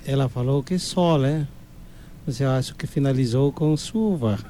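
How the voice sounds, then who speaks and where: A man's voice: medium pitch, normal speed, mellow, a little hiss or hum. A man, a priest, speaking in an easygoing, good-humored way.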